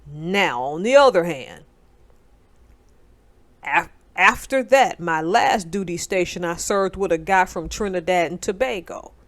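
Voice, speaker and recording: Emphatic woman with a lowish voice. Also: expressive, a little slow. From North Alabama, United States. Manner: emphatic, conversational, digressive. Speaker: commentator, military veteran. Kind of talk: casual commentary monologue.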